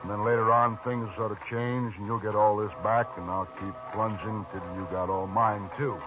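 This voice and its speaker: An actor playing a swaggering, tough old-west card player in a 1950s radio western, taunting and sure of himself. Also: male voice, fairly low pitch, flat delivery, normal speed, close to an everyday voice. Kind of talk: 1950s radio drama acting. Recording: tinny old radio transcription with music.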